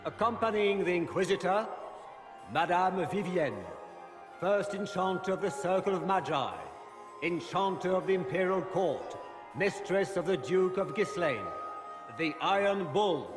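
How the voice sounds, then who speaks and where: An actor playing a pompous court herald, declaiming formal announcements of noble guests in a video game. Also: male voice, sits high, unhurried, over music. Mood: grand, proclaiming. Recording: echoing hall with long reverb.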